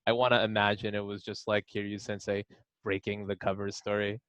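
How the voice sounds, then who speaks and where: A man's voice: fairly low pitch, flat delivery, a little fast, a bit bright, clean tone, no room sound. Playful man in a dry, close recording. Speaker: editor reading author's answers. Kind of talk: casual panel chat with read-out answers.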